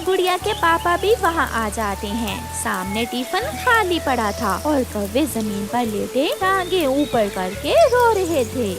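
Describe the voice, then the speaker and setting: A woman's voice: high voice, very animated, unhurried, few pauses, over music. A woman reading scripted narration for a children's moral story.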